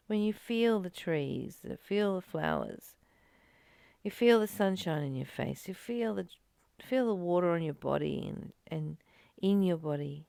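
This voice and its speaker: A woman giving a reflective spiritual teaching, reading and commenting in short, earnest, gently urging phrases with pauses. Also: fairly low pitch, gravelly, dry recording.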